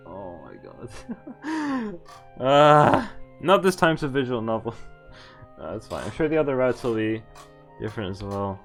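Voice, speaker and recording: A man streaming a game, reading visual novel text aloud and commenting in a casual, sweary way. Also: medium pitch. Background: faint game music.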